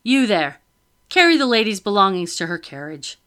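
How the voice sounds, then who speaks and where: Woman doing a commanding officer, curt, stern, slow-spoken orders. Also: medium pitch, expressive, gravelly, fairly bright tone.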